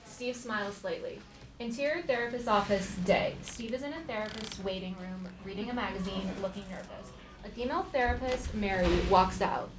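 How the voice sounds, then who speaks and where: A woman's voice: mid-range voice, a little fast, over music. A woman reading scripted stage directions as narrator at a live comedy table read. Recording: echoey, noisy live theater.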